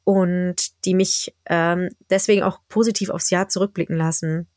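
A woman in her thirties with a head cold chats as podcast co-host, her nose audibly blocked. She talks in a lively way, thinking aloud with small fillers. The recording is dry and close, with no room sound. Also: lowish voice, moderate pace, no echo. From Germany. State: congested with a cold. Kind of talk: casual chat.

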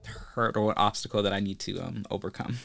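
A man's voice: lowish voice, fairly even intonation, brisk, gravelly, a crowd in the background. A man hosting a podcast in a casual interview chat, rambling with many fillers and restarts. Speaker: podcast host.

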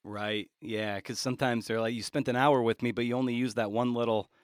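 A man hosting a podcast interview who asks thoughtful questions, often halting and restarting as he speaks. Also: medium pitch, steady tone, no room sound, clean studio sound. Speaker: podcast host. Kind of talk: conversational interview.

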